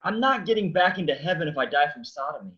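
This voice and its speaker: A man's voice: fairly high pitch, very animated, quick pace, bright, forceful tone, muffled recording. An actor playing a dejected, irritable resurrected man drowning his sorrows in a comic play reading. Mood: gloomy.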